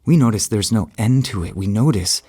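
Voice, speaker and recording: An actor playing a haunted man who confides a dark secret in a halting, deliberate horror monologue, with repeated broken phrases. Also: lowish voice, expressive, a little hiss or hum. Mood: hushed, uneasy. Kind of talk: slow, scripted dramatic monologue for an audio horror drama. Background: music.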